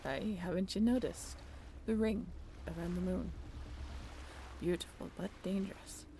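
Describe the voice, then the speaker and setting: Brief: actress as young period sailor woman, dreamy and ambitious, slow hushed delivery with some creak, faint noise behind. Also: fairly low pitch. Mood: quiet, foreboding. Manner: soft-spoken, archaic sailor phrasing, wistful. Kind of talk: period seafaring roleplay audio drama.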